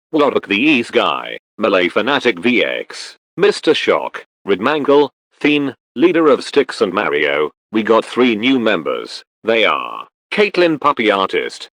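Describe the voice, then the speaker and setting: Male military emergency announcer, lowish, varied intonation, formal scripted bulletin, dry. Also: a bit bright, dry recording, exaggerated voice.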